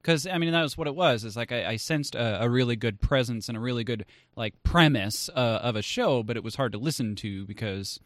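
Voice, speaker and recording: Man, mid-range voice, close dry recording, casual podcast host, effusive, halting repeated phrases. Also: mid-range voice, dry recording. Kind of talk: casual chat.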